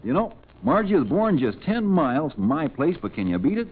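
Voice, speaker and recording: An actor with an American accent playing an earnest, folksy young country farmer in 1940s radio comedy style. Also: male voice, a little high, sing-song, brisk, husky. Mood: happy, earnest. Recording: tinny, noisy old radio recording.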